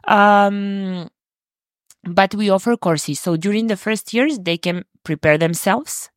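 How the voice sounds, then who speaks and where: A woman's voice: mid-range voice, takes it slowly, dry recording, clean studio sound. A young woman with a Spanish accent, speaking for a university in a casual interview, hesitant, with many fillers and some non-native phrasing. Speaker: university representative. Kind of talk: conversational interview answers.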